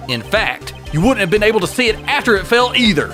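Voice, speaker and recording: A man's voice: high voice, sing-song, fast, bright, forceful tone, exaggerated voice. A man playing a determined young defense lawyer in a video game dub, pressing and confrontational in manner. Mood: accusing. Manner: assertive, pressing. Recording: music under the voice.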